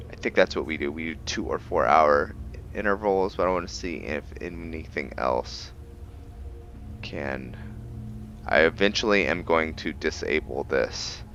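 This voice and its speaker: A man giving casual roleplay gameplay commentary as a let's-play host, haltingly thinking aloud as he goes. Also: very deep voice, a bit bright, lo-fi sound, a little hiss or hum. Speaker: video game streamer, let's-play host.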